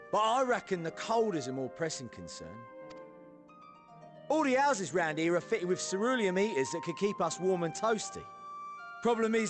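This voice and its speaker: A blunt, practical smiths' boss from a game. His voice sits high and bounces around in pitch. He talks informally and colloquially, with music playing behind him. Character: plain-spoken, practical male leader of smiths.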